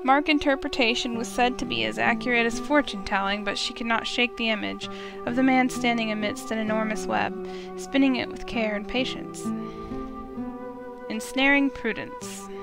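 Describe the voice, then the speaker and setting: A woman's voice: a little high, fairly even intonation, moderate pace, creaky. A woman streamer reading a fantasy visual novel aloud in a storytelling narration style. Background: music.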